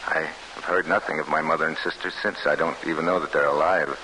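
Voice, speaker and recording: A grave, guarded soldier speaks in a very low, forceful voice. His delivery is earnest and deliberate, like old radio drama narration, with a light hiss over the recording. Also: steady tone, low-quality recording. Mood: somber. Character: grave, guarded Polish soldier recounting his wartime escape. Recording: hissy vintage radio broadcast.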